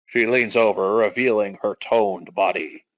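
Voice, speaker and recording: A man's voice: lowish voice, measured pace, fairly bright tone, no echo, low-quality recording. A man hosting and narrating a comic dramatic reading of a game story. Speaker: podcast host and narrator.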